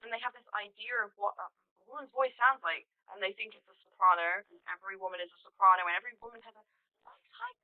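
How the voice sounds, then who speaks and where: A woman with a deep contralto voice, speaking as a metal vocalist in an interview, animated and emphatic. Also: fast talker, no room sound. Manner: animated, emphatic, laughs between points. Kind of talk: conversational interview. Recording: tinny phone-line audio, dry.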